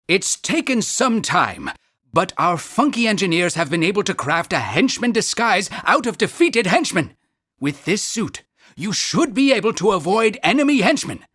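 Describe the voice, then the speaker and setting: An actor playing a fussy, absent-minded town mayor in a cartoon video game, wordy and politely pompous. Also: male voice, high-pitched, a bit bright, dry recording, clean studio sound, exaggerated voice.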